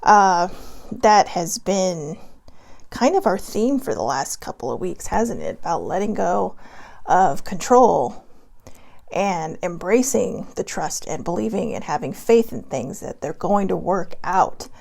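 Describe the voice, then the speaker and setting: A woman's voice: on the low side, very animated, unhurried, gravelly, darkish tone. A woman raised in Hawaii chatting casually from home, bubbly and giggly as she rambles through a card reading. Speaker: vlogger doing a card reading. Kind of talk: casual livestream chat.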